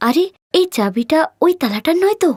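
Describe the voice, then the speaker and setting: A woman's voice: high-pitched, very animated, a little fast, a little mellow. A woman voicing a timid, hurt orphaned college girl in a cartoon story, speaking in a meek, pleading way. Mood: puzzled.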